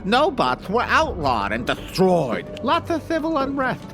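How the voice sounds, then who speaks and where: A flamboyant villain king, high and wildly animated in pitch. He gloats theatrically, leaping from shouts to mutters, in a gleefully unhinged audio-drama performance with music underneath. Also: a little slow, exaggerated voice. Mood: gloating. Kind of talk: scripted audio drama acting.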